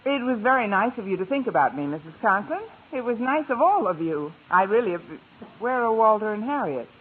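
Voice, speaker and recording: An actress playing a wry, courteous single schoolteacher in a vintage radio sitcom, with a dry delivery. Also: female voice, fairly high pitch, big pitch swings, fairly quick, breathy edge. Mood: gracious. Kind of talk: 1950s radio sitcom acting and narration. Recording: tinny, hissy old radio recording.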